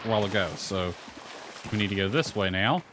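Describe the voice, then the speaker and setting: A man's voice: lowish voice, animated, a little fast. A man giving casual gameplay commentary, muttering to himself in a laid-back way and reading bits of game dialogue aloud. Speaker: gaming video creator. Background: soft lo-fi music.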